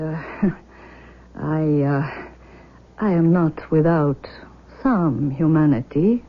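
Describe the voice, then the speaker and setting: An actress in a 1970s radio drama playing an embittered, unyielding mother, with intense, measured phrasing. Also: fairly low pitch. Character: embittered, unyielding mother demanding her daughter back. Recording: muffled old radio broadcast.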